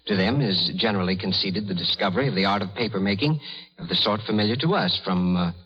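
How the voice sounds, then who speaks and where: A man's voice: lowish voice, fast, breathy edge. An actor playing an earnest, well-bred young rich man's son in an old radio drama, formal and educated, with a slight stammer. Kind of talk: 1930s radio drama acting. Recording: muffled vintage radio broadcast.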